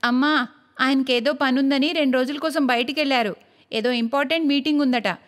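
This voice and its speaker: A woman voicing a demanding, sharp-tongued, scheming young married daughter in a cartoon moral story. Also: sits high, steady tone, a little fast, natural voice. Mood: wheedling, smug.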